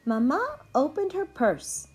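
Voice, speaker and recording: A woman teacher reading a children's story aloud in a deliberate, animated storytelling manner. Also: high voice, faint music behind. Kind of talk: slow expressive children's read-aloud.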